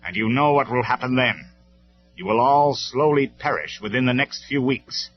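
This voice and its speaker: A man voicing a stern, commanding male robot leader who speaks loud and measured, on a dull lo-fi recording with faint music. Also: mid-range voice, voice pushed up, natural voice.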